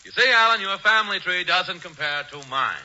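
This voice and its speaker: A man's voice: high voice, lively intonation, a little fast, sharp tone, heavily acted. An actor playing a pompous, smug rich man who hosts a party, in a 1940s radio sitcom. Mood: pompous, announcing. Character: pompous rich snob, smugly playing dinner-party host. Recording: muffled, noisy 1940s radio broadcast.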